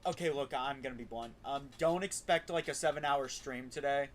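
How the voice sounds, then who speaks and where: A man's voice: medium pitch, moderate pace, slight background noise. A man hosting a casual game livestream, often stumbling over and repeating his words. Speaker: game streamer, host. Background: faint game music.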